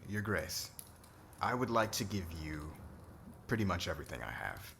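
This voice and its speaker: A man's voice: deep voice, sing-song, moderate pace, creaky, fairly bright tone, a little hiss or hum, full character voice. A man playing a cocky, laid-back warrior commander in an ASMR roleplay, talking in a casual, slangy, flirtatious way. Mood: earnest.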